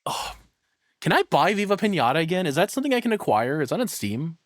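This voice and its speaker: A man's voice: a little high, sing-song, quick pace, dry recording, clean studio sound. A tired man casually commentating on a live stream as he reacts to a video, crude, profane and self-deprecating.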